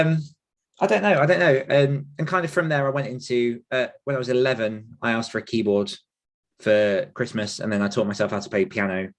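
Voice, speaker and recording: A man's voice: medium pitch, fairly bright tone. A man in his thirties chatting casually and reflectively on a podcast, rambling and self-deprecating in manner. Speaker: podcast host and author telling his own story.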